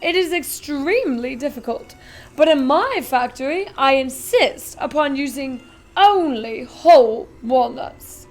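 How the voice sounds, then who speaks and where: A woman reading aloud and voicing an eccentric, excitable male chocolate factory owner who talks loudly and briskly. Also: high-pitched. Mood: eager, instructive. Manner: loud, brisk and commanding.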